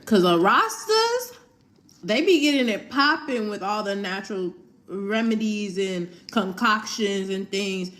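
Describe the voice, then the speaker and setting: A woman chatting casually as a vlogger, animated and full of "like". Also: mid-range voice, unhurried. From United States. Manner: animated, heavy use of "like". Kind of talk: casual chat.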